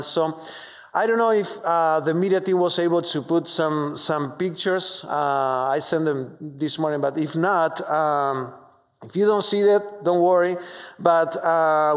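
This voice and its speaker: A man from Argentina with a strong Argentine Spanish accent, preaching a sermon with warm, humorous, halting storytelling full of fillers. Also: on the high side. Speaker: pastor. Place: church sanctuary. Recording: long hall reverb.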